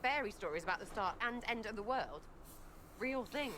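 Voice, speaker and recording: An actress voicing an irreverent young archer in a video game, blunt, skeptical and slangy, with a British accent. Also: female voice, a little high, lively intonation, normal speed, fairly bright tone, echoey room. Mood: skeptical, rattled. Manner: cheeky, slangy, choppy phrasing. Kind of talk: video game character dialogue.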